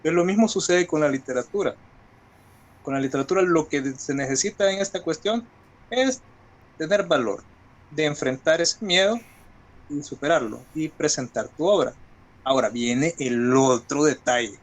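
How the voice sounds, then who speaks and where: A man's voice: a little high, moderate pace. A man from El Salvador with a Salvadoran accent, talking as an interview guest in a colloquial, reflective way and explaining with examples. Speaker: guest writer. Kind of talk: conversational interview talk.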